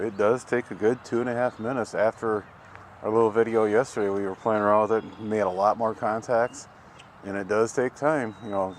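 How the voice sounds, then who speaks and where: Casting: folksy American male vlogger, fairly low voice, unhurried rambling chat, light outdoor noise behind. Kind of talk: casual vlog narration. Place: outdoors at a campground. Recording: outdoor camcorder with ambient vehicle noise.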